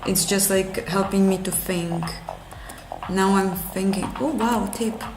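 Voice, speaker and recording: A woman from Russia with a Russian accent, a game engine developer who thinks aloud on a live coding stream and answers chat in halting, self-correcting English. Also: medium pitch, background noise. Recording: roomy with music playing behind.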